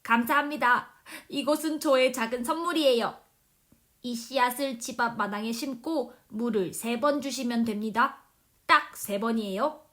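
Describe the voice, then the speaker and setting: A woman voicing a gentle, polite talking terrapin in a children's storybook, speaking earnestly and emphatically. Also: fairly high pitch, moderate pace, lightly acted. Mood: grateful. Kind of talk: children's storybook character acting.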